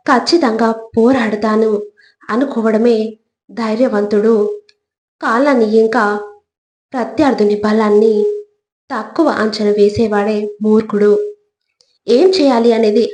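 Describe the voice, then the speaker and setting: A woman reading scripted motivational narration in a deliberate, instructive manner, in short measured phrases. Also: a little high, steady tone, darkish tone. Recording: echoey, reverberant voice track.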